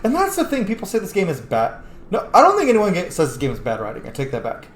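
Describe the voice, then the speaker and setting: Male streamer, casual and sweary, animated pitch, echoey room with faint noise. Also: quick pace. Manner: sweary, chatty. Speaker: game streamer. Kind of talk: casual gameplay commentary.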